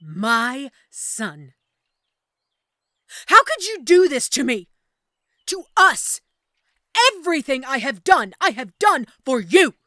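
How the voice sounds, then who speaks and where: A woman's voice: high-pitched, big pitch swings, takes it slowly, long pauses, pushed and bright, no echo, studio quality, full character voice. A woman playing an imperious, scheming deposed queen in a fantasy audio drama, speaking in a haughty, formal, theatrical way. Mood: wounded pleading. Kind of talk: fantasy audio roleplay acting.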